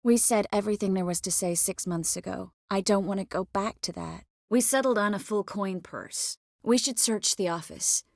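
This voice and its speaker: An earnest, quick-witted young sorceress from a fantasy video game. She speaks with tenderness at a middling pitch, in dramatic dialogue. The sound is dry and close, free of any room. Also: gravelly, a little mellow, no room sound.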